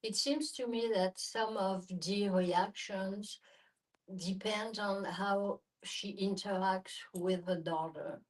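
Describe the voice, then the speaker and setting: A woman's voice: medium pitch, steady tone, slow pace. A woman giving her opinion in a casual group discussion in short, piecemeal phrases. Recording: voice picked up from a distance.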